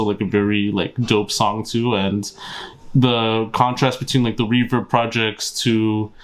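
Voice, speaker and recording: A man hosting an interview in loose casual talk, saying "like" and "dope" constantly. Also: on the low side. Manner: loose, heavy use of "like" and "dope". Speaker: interview host.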